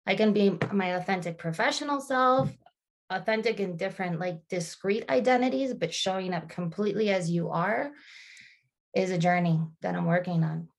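A woman's voice: medium pitch, moderate pace, creaky. A woman in her thirties, a podcast guest, speaking thoughtfully in casual conversation with frequent restarts and fillers.

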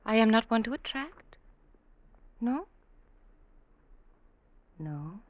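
An actress playing a sly, seductive wife in a classic radio drama, intimate and insinuating, often sinking toward a breathy whisper. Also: female voice, fairly high pitch, big pitch swings, drawn-out words, no echo, muffled recording, heavily acted. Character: sly, seductive, manipulative wife goading her husband.

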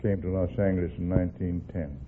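A man's voice: low voice, little pitch movement, fast, a little hiss or hum. An American man in his seventies from Massachusetts, answering questions as a quiz show contestant. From Massachusetts, United States. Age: 70s. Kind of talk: answering a host's questions on stage. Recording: tinny phone-like old radio broadcast.

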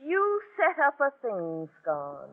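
A woman's voice: high-pitched, wildly swooping pitch, slow, exaggerated voice. An actress playing a distraught, bitter woman in a 1950s radio crime drama, melodramatic and repeating her phrases. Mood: accusing. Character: distraught woman confronting her hired accomplice, overwrought, bitter. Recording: tinny, muffled vintage radio recording.

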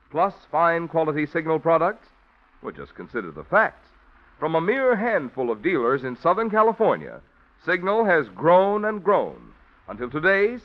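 A man announcing a 1940s radio drama with sponsor messages, smooth and persuasive. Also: fairly high pitch. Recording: dull old radio broadcast with music.

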